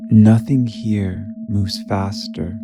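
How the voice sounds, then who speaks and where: A man leading a guided meditation in a soft, soothing manner, leaving long pauses between short phrases. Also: fairly low pitch, fairly even intonation, vocal fry, over music.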